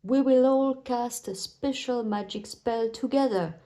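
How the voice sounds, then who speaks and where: A woman reading a children's storybook, voicing a kindly, encouraging female gazelle who teaches playgroup with clear, teacherly instructions. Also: a little high, unhurried, warm tone, natural voice. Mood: excited announcing. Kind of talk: children's storybook read-aloud.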